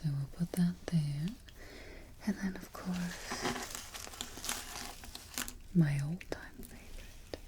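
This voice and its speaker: A woman playing a prim, haughty evil queen in a soft, whispered ASMR roleplay, slow and politely imperious, recorded close to the microphone. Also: sits low. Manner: unhurried, exacting, politely imperious. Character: prim, haughty evil queen instructing her new helper.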